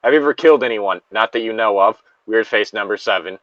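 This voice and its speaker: A man's voice: fairly low pitch, quick pace, a bit bright, no room sound, highs cut off. A man in a casual, joking group chat, with a crude, profane way of talking.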